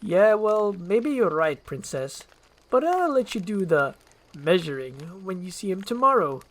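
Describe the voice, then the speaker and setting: A woman's voice: medium pitch, very animated, unhurried, a little hiss or hum. A woman voicing a grumpy, sarcastic male ogre in an amateur screenplay reading, speaking bluntly. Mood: dry, sardonic.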